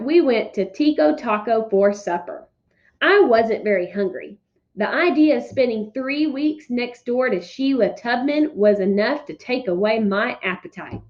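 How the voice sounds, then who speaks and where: A woman teacher giving instructions and reading aloud to pupils with emphatic, deliberate phrasing. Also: on the high side, darkish tone, lo-fi sound. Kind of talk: instructional read-aloud, scripted narration.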